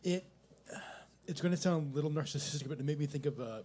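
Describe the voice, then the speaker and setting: A man at a coffee shop open mic, speaking in a reflective, halting way about the poems he just heard. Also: fairly high pitch, warm tone, a little hiss or hum. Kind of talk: casual chat.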